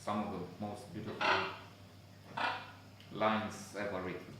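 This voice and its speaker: Man, lowish voice, talking to a phone far off in a very echoey space. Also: flat delivery. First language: Romanian. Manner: unhurried, hesitant with many fillers, admiring. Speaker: vlogger discussing poetry. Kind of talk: casual musing monologue. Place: public spot with people and a dog nearby. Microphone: phone on a tripod. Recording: very echoey, distant phone mic with noise.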